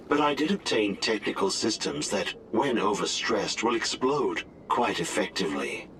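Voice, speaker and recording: A man's voice: fairly low pitch, fairly bright tone, slight background noise, heavily acted. A man playing a hostile rogue artificial intelligence in a video game, formal, clipped and menacing.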